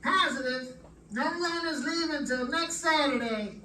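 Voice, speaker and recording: A woman playing a brisk, clipped male customs office clerk in old-time radio drama. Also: sits high, sharp tone. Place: live stage performance, mic at a distance. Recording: distant mic in echoey room.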